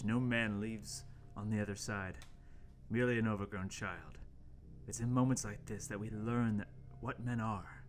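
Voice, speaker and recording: A man's voice: lowish voice, lightly acted. A man playing an earnest young intern, narrating an amateur radio play with overwrought seriousness. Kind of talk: amateur radio-play table read, first-person narration.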